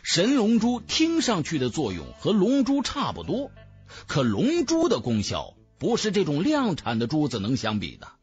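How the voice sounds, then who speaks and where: A man reads a fantasy novel as dramatic scripted narration. His voice is a little high and moves very widely in pitch. Faint music sits behind him. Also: huge pitch swings, moderate pace, muffled recording. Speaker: audiobook narrator.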